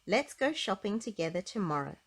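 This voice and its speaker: A woman voicing a brisk, exacting, tidy little lady in a children's storybook, with emphatic delivery. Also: medium pitch, very animated, husky.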